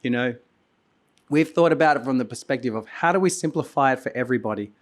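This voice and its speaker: Hoarse male conference host, mid-pitched, rambling keynote with restarts and coughs, voice going. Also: quick pace. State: hoarse, losing his voice. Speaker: conference host and company founder. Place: conference venue.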